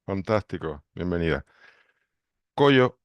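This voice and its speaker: A man hosting a book club video call, briskly greeting each member. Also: deep voice, sing-song, smooth, dry recording, lo-fi sound. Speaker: book club director and host. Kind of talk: calling roll on a video call.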